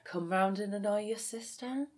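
A woman's voice: medium pitch, moderate pace, a little mellow, lightly acted. An actress playing a cynical, grieving woman, in a naturalistic audio drama. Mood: wry. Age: 30s. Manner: sardonic, exasperated. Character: cynical, grieving 37-year-old woman working as an elf.

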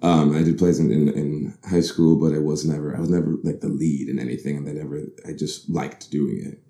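Very deep gravelly man in an echoey room. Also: level tone, fairly quick, dark tone. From Bahamas. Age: 30s. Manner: thoughtful, self-correcting, laughs easily. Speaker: actor guest. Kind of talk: candid interview storytelling. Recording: roomy echo.

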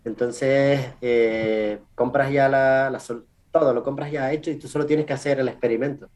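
A man with a Canarian Spanish accent giving casual interview answers as a research scientist, in an informal, halting way with self-corrections and tag questions. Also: medium pitch, steady tone, darkish tone. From Canary Islands, Spain. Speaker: research scientist, podcast guest.

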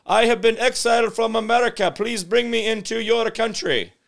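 A man voicing a mock foreign migrant, cheeky and pleading, in slow exaggerated comic talk-show style. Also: very high-pitched, fairly bright tone, voice pushed up.

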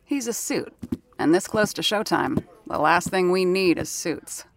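A woman's voice: lowish voice, expressive, unhurried, no echo. A woman playing a wry, seasoned, no-nonsense radio sound-effects worker in an audio drama, talking in conversational asides. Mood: wry, confiding. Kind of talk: 1930s-set audio drama narration and dialogue.

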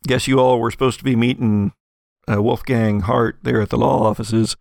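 An American man voicing a casual, folksy small-town sheriff's deputy who talks in a halting, offhand way for a tabletop game. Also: mid-range voice, steady tone, vocal fry, a little mellow, no echo, studio quality, lightly acted. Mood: casual, informing.

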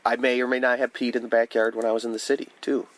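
A man's voice: mid-range voice, flat delivery, fast talker. A young man with a nasal voice co-hosting a podcast, teasing and cracking crude jokes in casual chat.

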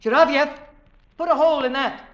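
A man's voice: extremely high pitch, fairly even intonation, fairly quick, fairly bright tone, faint music behind. An actor playing an intense, protective man, speaking earnestly and urgently in a theatrical 1950s radio drama style. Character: intense, driven man, protective of his beloved. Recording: dull, lo-fi 1950s radio recording.

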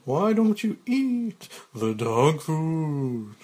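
Man doing a brash, pitched-up showbiz boss. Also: very animated, very slow, darkish tone, full character voice. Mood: pushy. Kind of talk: screenplay read-through.

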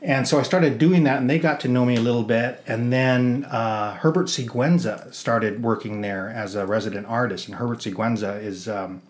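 A man's voice: mid-range voice, moderate pace, hardly any pauses. A middle-aged man with an American accent, talking as an interview guest in a casual, rambling storytelling way. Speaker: interview guest, theater producer and actor.

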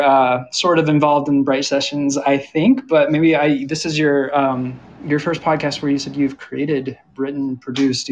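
A man's voice: mid-range voice, a little hiss or hum, low-quality recording. A man moderating a panel in a conversational way, feeling out his questions as he speaks. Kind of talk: conversational interviewing.

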